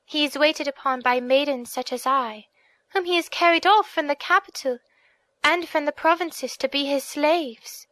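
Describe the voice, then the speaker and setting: A woman's voice: high voice, moderate pace, fairly bright tone, no echo, pitched above the performer's own voice. A woman voicing a young captive princess in a storybook, speaking formally and earnestly with sorrowful pleading. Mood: sorrowful, grave. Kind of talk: audiobook character voice.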